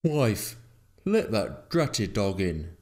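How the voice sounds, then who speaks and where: A man's voice: fairly low pitch, very animated, drawn-out words, a little mellow, full character voice. Guy doing a cranky old-fashioned country farmer from a storybook, scolding and blunt, voice jumping about. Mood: gruffly irritated. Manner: scolding, rustic turns of phrase. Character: grumpy, blunt male farmer, easily irritated. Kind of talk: storybook character voice.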